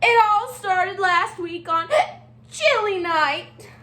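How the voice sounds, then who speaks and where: A woman's voice: extremely high pitch, slow, very noisy. A woman voicing a small, anxious young penguin from a children's storybook, childlike, whiny and dramatic. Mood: whiny, exasperated. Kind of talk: children's storybook read-aloud.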